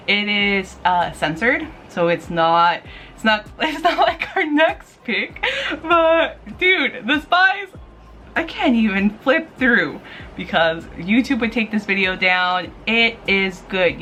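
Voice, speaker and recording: A woman's voice: mid-range voice, bright, forceful tone, slight background noise. A woman chatting casually to camera as a review vlog host, gushing, playful and informal. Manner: gushing, giggly, playful, informal with mild swearing.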